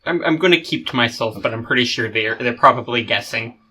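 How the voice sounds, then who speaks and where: Easygoing bright male player. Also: medium pitch, fairly quick, a little hiss or hum. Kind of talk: casual tabletop chat.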